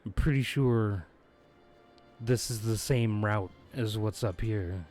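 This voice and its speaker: A man streaming a video game, hoarse from losing his voice, chatting in a laid-back, rambling way with frequent chuckles, close on his microphone. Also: lowish voice, takes it slowly, a little mellow, faint music behind. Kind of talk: casual live-stream chat. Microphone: close desk microphone.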